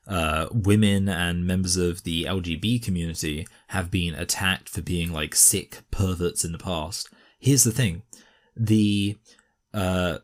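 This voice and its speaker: A man with a slight cough, hosting an argumentative commentary and talking emphatically with many fillers and restarts. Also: low voice. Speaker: commentary host.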